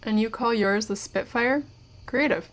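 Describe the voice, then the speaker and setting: An actress playing a polite, curious and wry young interviewer in an audio drama. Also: female voice, a little high, measured pace, close to an everyday voice. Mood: intrigued, wry.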